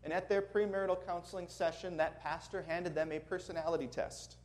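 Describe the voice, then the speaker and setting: Minnesotan-accented male guest preacher, warm-hearted sermon and storytelling, slightly higher register. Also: steady tone, a little fast, slight background noise. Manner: earnest, friendly, chuckling asides. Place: church sanctuary before a congregation.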